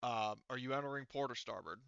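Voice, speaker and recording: A man with a clear, forceful voice that has some gravel in it runs a tabletop game. He explains in a casual way, thinking aloud, on a dry and slightly muffled close recording. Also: mid-range voice, a little fast, no echo. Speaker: game master.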